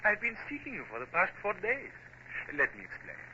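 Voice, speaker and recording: Ceremonious Spanish naval officer with swooping intonation. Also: male voice, high-pitched, huge pitch swings, a little fast, pushed and bright, heavily acted. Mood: courteous, ceremonious. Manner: ceremonious, emphatic. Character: courteous, earnest Spanish naval officer, flowery and formal. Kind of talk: old radio drama acting. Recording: dull, hissy old radio recording.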